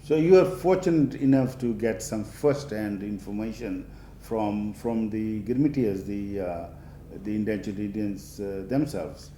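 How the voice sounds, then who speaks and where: A male TV host opening and guiding an interview, often pausing on fillers. His low, mellow voice carries room echo, with faint ambience behind it. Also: slight background noise. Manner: hesitant, many fillers. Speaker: TV show host. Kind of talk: interview hosting.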